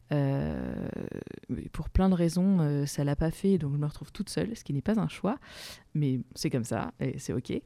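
A woman's voice: deep voice, gravelly, warm tone, dry recording. A woman musician and playwright answering interview questions casually, hesitant with many fillers and restarts, laughing often.

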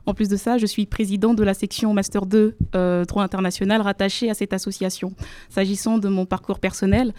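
Young woman on studio radio, smooth mellow voice, lots of ums, formal wording, dry sound. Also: mid-range voice, little pitch movement, a little fast, no echo. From Democratic Republic of the Congo. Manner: hesitant, many fillers, careful formal phrasing. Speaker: law student guest. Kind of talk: radio interview. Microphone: studio microphone.

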